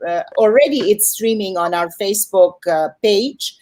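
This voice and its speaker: A woman's voice: medium pitch, normal speed, darkish tone. A woman speaking conversationally as she hosts and moderates a festival question-and-answer session. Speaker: festival host moderating an online Q&A. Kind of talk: conversational event hosting.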